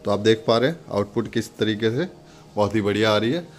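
A man's voice: lowish voice, animated, a little fast, faint music behind. An Indian man giving a casual step-by-step product demonstration, instructing viewers in a friendly, direct address.

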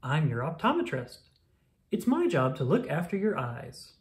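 A friendly flamingo eye doctor from a children's storybook, played by a woman, speaking gently and with encouragement. The pitch swings up and down in a reassuring, expressive way. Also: normal speed. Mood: kindly, encouraging.

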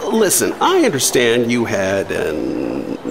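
A man's voice: mid-range voice, huge pitch swings, takes it slowly, vocal fry, noisy background, heavily acted. A man playing a sly, mysterious government doctor with an insinuating manner in a radio drama. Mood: sly, knowing. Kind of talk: 1950s-style radio drama acting.

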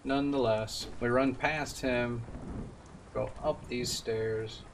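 A man's voice: medium pitch, fairly even intonation, slow pace, noisy background. A young man giving casual gameplay commentary as he streams from a room at home. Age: young adult. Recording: distant voice under loud game sound. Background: loud video game sounds.

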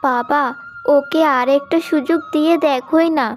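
Casting: woman as soft-hearted forgiving young wife, cartoon tale, high girlish register. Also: steady tone, normal speed, natural voice. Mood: pleading, gentle. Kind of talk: cartoon story acting. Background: background music.

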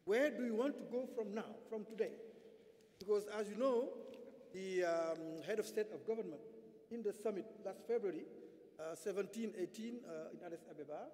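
A man from Senegal moderating a conference panel from a podium in a large hall, emphatic and halting, with many fillers. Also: high-pitched, a little mellow. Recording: hall reverb.

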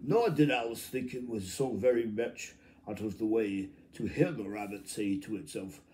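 A man in his fifties reading a children's story aloud informally, halting and stumbling, with muttered asides. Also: on the low side, warm tone, slight background noise. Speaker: amateur storybook reader. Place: small room at home, indoors.

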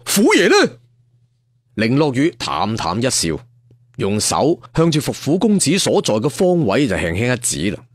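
A man's voice: mid-range voice, sing-song, normal speed, dry recording. A man with a Cantonese accent narrating a martial arts story dramatically, with conversational asides. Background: faint music.

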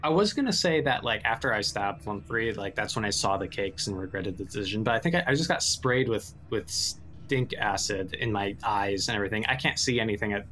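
A man playing a tabletop roleplaying game, improvising casually and haltingly as he thinks aloud. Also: fairly low pitch, animated, pushed and bright, a little hiss or hum.

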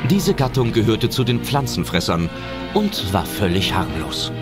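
A man's voice: lowish voice, sing-song, measured pace. A man reading scripted audio drama narration as a storyteller. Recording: narration over loud film music.

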